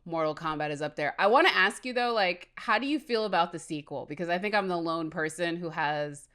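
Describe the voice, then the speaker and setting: A woman's voice: fairly low pitch, brisk, fairly bright tone. A woman co-hosting a movie podcast, chatting casually and enthusiastically about films. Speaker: podcast co-host.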